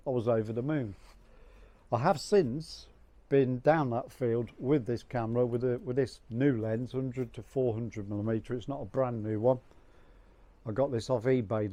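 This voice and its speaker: A middle-aged man with a cold, croaky and hoarse, chatting casually to camera in a rambling, friendly vlog. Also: medium pitch, dark tone. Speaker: vlogger.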